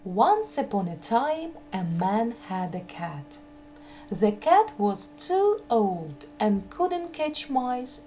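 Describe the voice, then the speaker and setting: Slow, lively woman storyteller over a hum. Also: mid-range voice, poor audio. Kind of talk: scripted folk tale narration for children. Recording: noticeable background hum.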